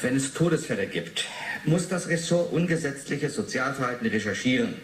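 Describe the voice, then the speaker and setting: An actor playing a formal, sceptical investigator in a radio drama, matter-of-fact and clipped. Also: male voice, mid-range voice, expressive, normal speed, natural voice. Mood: probing. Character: sober, probing official investigator, formal and sceptical. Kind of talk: 1980s German radio drama acting. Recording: roomy sound, faint noise.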